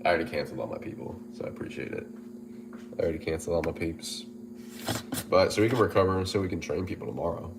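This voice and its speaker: A man's voice: low voice, fast, background noise. A man who is a personal trainer, sounding nasal and stuffed up from a head cold, chatting casually and repeating himself on a livestream. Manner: relaxed, chatty, repeats himself, reads out viewer comments. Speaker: personal trainer and gym owner.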